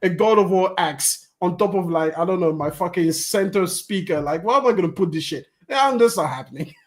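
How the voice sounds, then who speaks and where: A man's voice: sits high, brisk. A man hosting a gaming podcast, talking animatedly in casual chat, swearing freely and laughing often.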